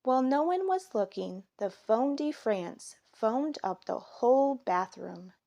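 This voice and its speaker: A woman reads a children's storybook aloud with a highly animated, rising and falling delivery. Her pitch sits a little high, and she takes her time with every phrase, speaking expressively for young listeners. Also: measured pace, gravelly, lo-fi sound. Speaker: storybook reader. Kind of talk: children's story read aloud, scripted narration.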